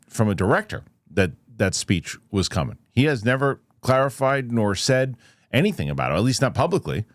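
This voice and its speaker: Low-pitched man, casual news commentator. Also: dry recording, clean studio sound. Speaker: host of a UFO news show.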